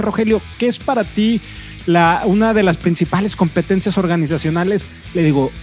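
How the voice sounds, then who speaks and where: A man's voice: high voice, a little mellow. A man hosting an interview podcast, building his points step by step in a rhetorical way. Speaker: podcast host.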